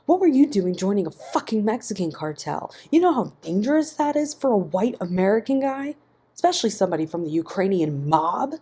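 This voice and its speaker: A man's voice: high-pitched, big pitch swings, a little fast, husky, pitched above the performer's own voice, heavily acted. A woman voicing an angry, resentful, foul-mouthed man who snaps and swears in a narrated story. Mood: defiant anger. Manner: snapping, profane, forceful.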